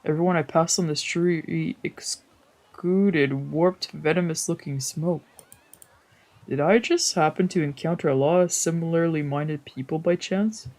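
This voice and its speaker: A woman's voice: low-pitched, unhurried, a little mellow, low-quality recording. A woman VTuber streamer reading visual novel narration aloud in a dramatic storytelling style.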